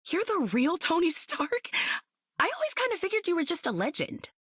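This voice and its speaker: High-voiced, frank young hero. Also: female voice, big pitch swings, normal speed, a bit bright, no echo, clean studio sound, full character voice. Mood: candid, curious. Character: frank, curious young superhero kid. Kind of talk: video game character acting.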